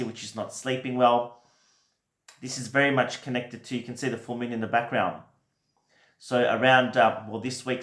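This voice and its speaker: A man with a croaky voice from a recent cold, giving a gentle, reassuring weekly tarot reading with many fillers. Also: medium pitch, roomy sound. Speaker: tarot reader.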